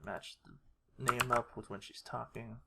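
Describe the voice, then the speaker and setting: A man thinking aloud through a casual screen-recorded tutorial, halting and self-deprecating, muttering to himself. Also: medium pitch, little pitch movement, creaky. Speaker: video creator demonstrating editing. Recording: noisy home mic with keyboard clicks. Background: typing and mouse clicks.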